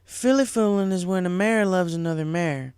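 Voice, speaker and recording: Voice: mid-range voice, moderate pace, a little mellow, clean tone, no echo, studio quality, pitched above the performer's own voice, lightly acted. A performer voicing an earnest, troubled young female farm pony in a story reading. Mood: frank, reassuring.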